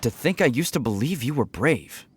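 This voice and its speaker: A man's voice: mid-range voice, animated, normal speed, slight background noise, natural voice. An actor playing an exasperated, protective, skeptical young man in a video game, placating yet brusque.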